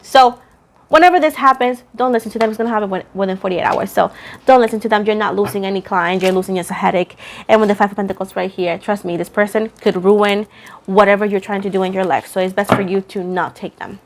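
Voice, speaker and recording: A woman's voice: medium pitch, fairly even intonation, quick pace. Chatty woman reading tarot. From Puerto Rico. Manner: rambling, self-deprecating, repeats phrases for emphasis. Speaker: tarot reader, host.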